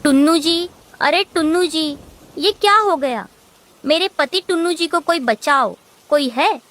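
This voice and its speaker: A woman's voice: high voice, unhurried, fairly bright tone. A woman voicing an earnest, devoted and anxious mother bird in a cartoon. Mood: panicked. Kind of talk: Hindi cartoon voice acting.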